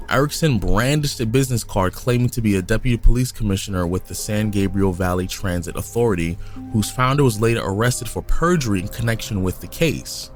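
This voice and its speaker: A man co-hosting a podcast, reading a crime story from notes in a casual way, sometimes stumbling and restarting. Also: on the low side. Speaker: podcast co-host. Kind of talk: reading a case aloud in casual chat.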